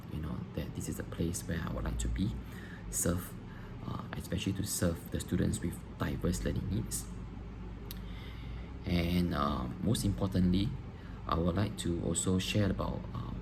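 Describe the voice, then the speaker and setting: A man, a teacher answering interview questions on video, pausing often with ums and restarting phrases. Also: deep voice, vocal fry. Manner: hesitant, frequent ums and restarts. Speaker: teacher applying for a job. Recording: noisy background hiss.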